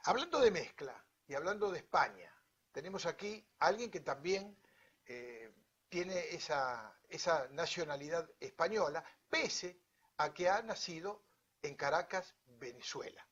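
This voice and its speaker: A man's voice: a little high, animated, unhurried, fairly bright tone, slightly rough. An Argentine man hosting a broadcast interview show, speaking with formal courtesy. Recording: dull, lo-fi audio.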